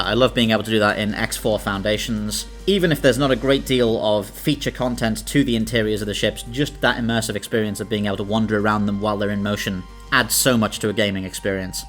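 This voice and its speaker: A man's voice: on the low side, fairly bright tone. A British man hosting a video, delivering scripted essay-style narration. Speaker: video host. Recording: music under the voice. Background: background music.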